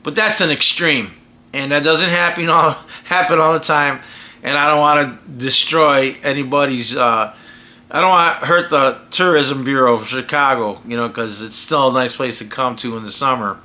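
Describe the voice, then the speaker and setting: A man's voice: mid-range voice, moderate pace, sharp tone. An American man holding forth in a casual, opinionated vlog monologue, talking emphatically and rambling with frequent restarts. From United States. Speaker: vlogger and podcaster.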